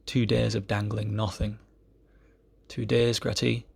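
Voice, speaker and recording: A man giving an amateur poetry reading in a solemn style, with measured, deliberate phrasing. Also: lowish voice, level tone, creaky, breathy edge.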